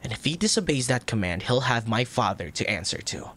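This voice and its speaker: A man playing a soft, tender young crown prince in an intimate ASMR roleplay, speaking formally with a gentle, hesitant manner and a troubled air. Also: mid-range voice, big pitch swings, fairly quick, slight background noise. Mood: indignant. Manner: formal old-fashioned wording, gentle, hesitant.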